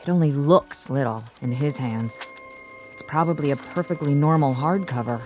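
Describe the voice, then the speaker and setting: A woman's voice: sits low, normal speed, music in the background, close to an everyday voice. An actress playing a sensible, skeptical and curious woman in a naturalistic radio drama.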